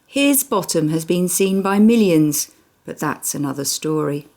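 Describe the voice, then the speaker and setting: A woman with a low-pitched voice narrates a children's story. She reads expressively, as to young listeners, and uses dramatic pauses for effect. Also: lively intonation, darkish tone.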